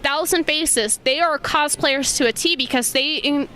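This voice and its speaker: A woman's voice: high voice, measured pace, sharp tone. A young woman chatting casually and enthusiastically as an interviewed guest at a convention. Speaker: professional cosplayer being interviewed. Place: convention venue. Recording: on-location interview with clear background noise.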